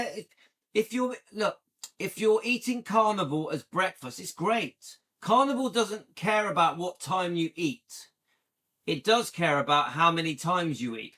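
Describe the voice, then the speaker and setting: Upbeat bright-voiced man in his fifties. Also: a little high. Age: 50s. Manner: chatty, enthusiastic, often asks "yeah?". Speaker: livestream host. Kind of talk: casual livestream chat with viewers.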